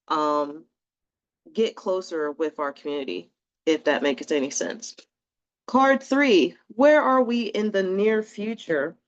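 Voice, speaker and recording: A woman's voice: sits low, clean tone, muffled recording. A woman doing a casual, rambling tarot reading in a chatty, reassuring way, a little sniffly from allergies. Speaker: tarot reader.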